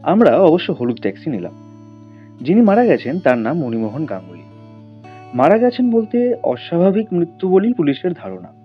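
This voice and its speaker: A man's voice: on the high side, very animated, moderate pace, highs cut off, faint music behind. A man narrating a scripted audio story in a dramatic storytelling style.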